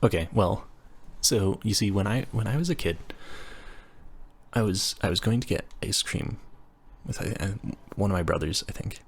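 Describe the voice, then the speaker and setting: A man's voice: on the low side, normal speed, breathy edge. A man voicing a nerdy, flustered engineering student roommate in a casual roleplay audio, stammering and rambling in a self-deprecating way. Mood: sheepish rambling. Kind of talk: intimate roleplay audio, casual scripted chat.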